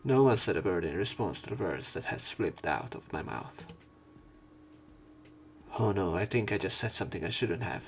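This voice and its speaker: Low-voiced man reading a visual novel aloud. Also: lively intonation, normal speed, pauses often, slight background noise. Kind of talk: narration and commentary of a visual novel.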